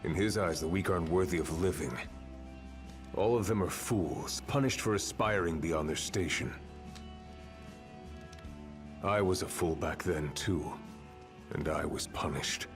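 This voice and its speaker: An actor playing a weary veteran warrior with a dry, laconic, sardonic manner. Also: male voice, low-pitched, moderate pace, natural voice. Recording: game audio with music.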